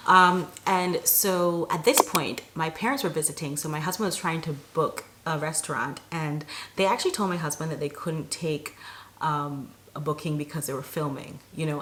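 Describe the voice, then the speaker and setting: A woman from Barbados with a fairly low voice talks casually to camera as a vlogger. Her storytelling is animated, chatty and emphatic, and there is some noise in the recording.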